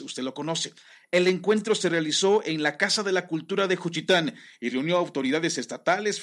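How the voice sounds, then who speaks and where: A man's voice: on the high side, a bit bright. A male news presenter reading regional news in a conversational way, speaking directly to his listeners. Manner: conversational, addresses listener directly, small restarts.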